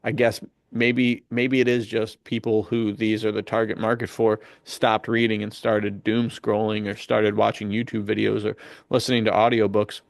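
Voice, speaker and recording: Man, vocal fry, dry recording, casual podcast panelist, opinionated, conversational. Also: on the low side, little pitch movement, moderate pace, no echo.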